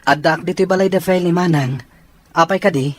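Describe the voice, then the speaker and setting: A man's voice: high voice, a little fast, a crowd in the background, lightly acted. Man acting worried young fiancé in radio drama.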